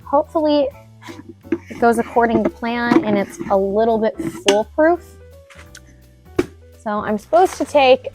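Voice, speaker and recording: Young female DIY vlogger, filler-heavy casual chatter, dry cough, recorded at home. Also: medium pitch. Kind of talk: casual chat and talk-through of a DIY task. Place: home indoors.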